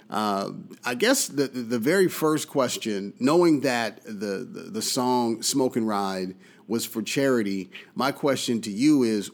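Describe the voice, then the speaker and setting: A man hosting a casual talk radio show from a studio, relaxed and full of uh and mm-hmm fillers. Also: mid-range voice. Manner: relaxed, fills his speech with uh and mm-hmm. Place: studio in Austin, Texas.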